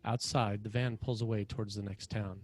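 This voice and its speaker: A man's voice: lowish voice, fairly even intonation, a little fast. A man reads scripted scene directions as the narrator of a screenplay table read.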